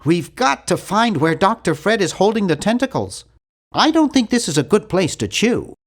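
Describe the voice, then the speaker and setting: A man's voice: high voice, very animated, normal speed, full character voice. A man voicing an earnest, timid young male nerd in an adventure video game, with a precise, fussy delivery. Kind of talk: animated adventure game voice acting.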